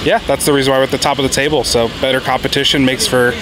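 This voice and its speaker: A man's voice: mid-range voice, little pitch movement, rapid-fire. A man fan speaking confidently in a casual street interview. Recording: outdoor traffic noise.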